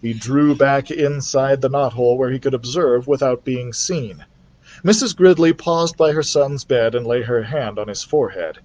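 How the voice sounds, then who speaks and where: A man reading a children's book aloud as a library storyteller, in an expressive style. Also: medium pitch, normal speed.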